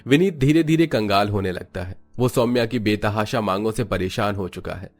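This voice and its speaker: A man's voice: lowish voice, normal speed. A man giving scripted, melodramatic narration for a cartoon family story.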